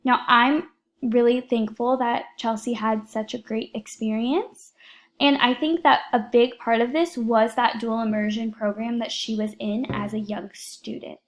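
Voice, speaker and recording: Young woman student, slightly high voice, earnest and steady, reading a prepared class report. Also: unhurried. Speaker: education student presenting a class project.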